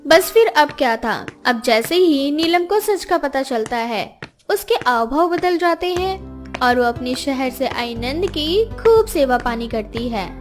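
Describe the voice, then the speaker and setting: A woman's voice: sits high, lively intonation, unhurried, faint music behind. A woman narrating a scripted moral story as a storyteller.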